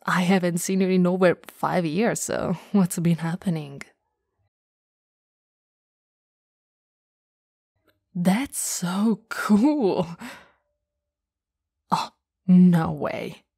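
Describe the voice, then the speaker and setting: Slow, low-pitched teasing older sister, acted by a woman. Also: gravelly, mellow, husky, no room sound, clean studio sound, exaggerated voice. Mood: friendly, impressed. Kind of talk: intimate ASMR roleplay. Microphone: close studio microphone.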